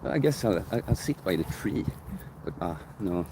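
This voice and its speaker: Deep-voiced man rambling on a live stream. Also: expressive, normal speed, background noise. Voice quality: deep bass voice. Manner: rambling, self-correcting, thinking aloud. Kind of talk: casual live-stream chat answering viewer questions. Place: outdoors in a park near sunset. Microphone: phone camera held by the streamer.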